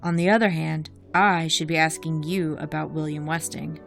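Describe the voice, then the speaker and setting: A woman's voice: deep voice, steady tone, normal speed, vocal fry. A woman playing a cunning, mocking imprisoned cult leader in an audio drama, speaking precisely and theatrically. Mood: mocking, bargaining. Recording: music behind the voice.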